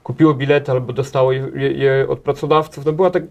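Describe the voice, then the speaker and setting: A man in his thirties answering questions in a studio interview, talking in halting runs with many restarts and repeated words, recorded on a table mic in a small TV studio. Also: mid-range voice, flat delivery, creaky, a little mellow, muffled recording. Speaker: interview guest, head of a transport association.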